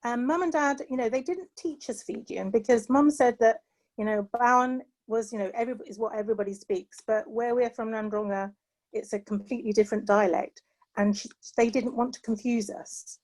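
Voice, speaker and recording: A woman's voice: on the high side, steady tone, brisk, darkish tone. A middle-aged woman with a British accent, sharing personal reflections in an earnest, heartfelt way and often saying "you know" as she talks. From England. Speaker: panel guest.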